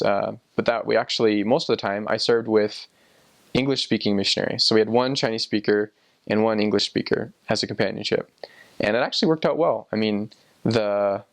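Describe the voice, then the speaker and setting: A man's voice: fairly low pitch, vocal fry. A young man telling personal experiences casually, in a rambling, informal way as if thinking aloud. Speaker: former missionary sharing experiences.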